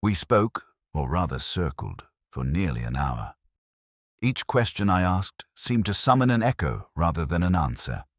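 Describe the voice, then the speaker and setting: A man playing a brooding, world-weary police inspector, narrating a case in measured, solemn, literary first person. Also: low voice, big pitch swings, dry recording, studio quality.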